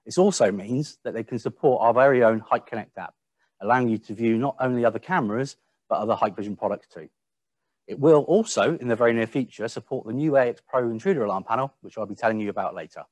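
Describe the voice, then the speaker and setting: Man, mid-pitched voice, dry sound, product presenter, webinar delivery, slightly halting. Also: no room sound.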